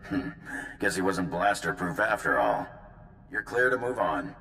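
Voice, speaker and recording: A man's voice: sits low, big pitch swings, unhurried, sharp tone, exaggerated voice. A man voicing a cool, matter-of-fact official of a bounty hunt in a video game, clipped and dry. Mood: businesslike.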